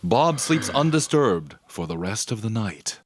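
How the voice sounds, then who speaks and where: A man's voice: lowish voice, big pitch swings, measured pace, a little hiss or hum. A man giving scripted, present-tense dramatic narration for an audio mystery.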